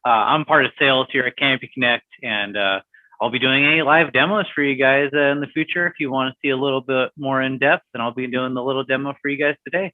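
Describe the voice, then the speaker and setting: A man with a mid-range voice talks quickly and politely over a thin, dry, phone-like call line. His greeting is upbeat and friendly. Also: medium pitch, steady tone, dry recording. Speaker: sales rep. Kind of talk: casual webinar greeting. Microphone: video call. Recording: dry tinny call audio.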